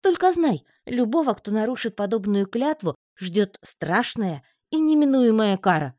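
A woman voicing a fierce amazon warrior woman who sounds hoarse and speaks bluntly, for an audiobook. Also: medium pitch, sing-song, a little fast, warm tone, no room sound, clean studio sound, voice pushed up. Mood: stern. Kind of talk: audiobook reading.

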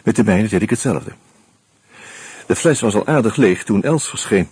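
Wry, measured narrator, very raspy and lowish, dry scripted radio drama storytelling. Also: male voice, expressive, darkish tone, no room sound. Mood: wry, matter-of-fact. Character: wry male first-person narrator, fond of a drink.

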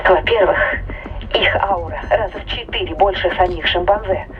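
A woman's voice: on the low side, moderate pace, highs cut off, soft background music. A woman playing an earnest female scientist on a spaceship in a sci-fi audio drama, explaining things over a radio link. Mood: concerned, explanatory. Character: Marie, serious female scientist aboard a spaceship.

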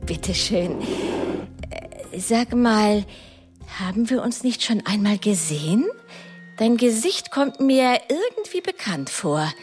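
A woman's voice: fairly high pitch, big pitch swings, takes it slowly, pauses often, darkish tone, faint music behind. An actress playing a chatty poison-mixer witch in a radio play, effusive, theatrical and proud of her craft. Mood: curious.

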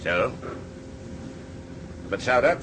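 A hard boastful sailor in a sea drama, shrugs things off, noisy engine background. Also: male voice, mid-range voice, sing-song, extremely slow. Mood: defiant. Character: hard, callous male seaman, unrepentant adventurer. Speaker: actor in a Dutch maritime drama. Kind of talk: dramatic seafaring acting.

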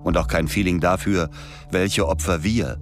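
An actor playing a male American soldier narrating in a measured, matter-of-fact way in a radio drama. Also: sits low, fairly even intonation, over music, close to an everyday voice. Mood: sardonic, grave. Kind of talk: scripted first-person narration in a radio play.

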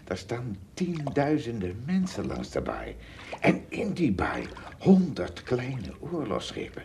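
An actor playing a good-natured, obliging giant traveller in a radio play, very raspy and often hesitant. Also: male voice, mid-range voice, huge pitch swings, slow, darkish tone, a little hiss or hum, heavily acted. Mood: breathless, excited. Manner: hesitant, stammering. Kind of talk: Dutch radio play acting.

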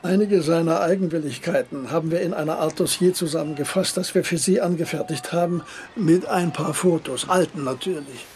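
Man acting courteous older emigrant gentleman, high-pitched voice. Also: fairly quick, slight background noise, close to an everyday voice. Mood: businesslike. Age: 60s. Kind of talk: radio drama acting.

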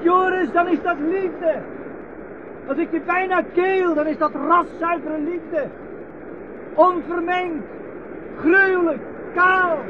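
Shrill, overwrought man declaring menacing love. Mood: fierce, declamatory. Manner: declamatory, intense, emphatic. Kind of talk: 1970s radio drama acting. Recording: dull, noisy 1970s radio recording.

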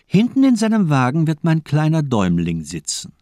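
An actor playing a cold, cynical doctor villain in a radio crime drama, speaking eloquently with mocking elegance. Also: male voice, fairly high pitch, huge pitch swings, dark tone, dry recording, clean studio sound. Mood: coldly amused. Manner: eloquent, playful, mocking, deliberate monologuing.

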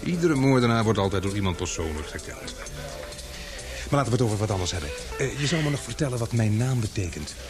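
An actor playing a courteous, inquisitive man in an old-fashioned radio play, speaking in a measured, gently probing way. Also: on the low side, big pitch swings, over music. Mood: grave. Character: courteous, inquisitive man caught up in murder intrigue.

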